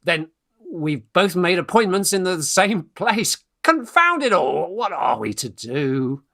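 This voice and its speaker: An actor playing a flustered young Victorian gentleman in a radio farce, British, stammering and exclamatory, eager in courtship and bossy with friends. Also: high voice, very animated, slow pace, creaky, exaggerated voice. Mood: impatient, scheming. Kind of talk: stage farce acting for radio play.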